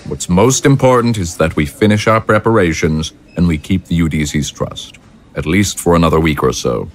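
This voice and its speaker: A man playing a commanding, calculating ruler in an audio drama, speaking with deliberate authority. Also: low voice, lightly acted. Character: commanding, calculating male ruler of a militarist state.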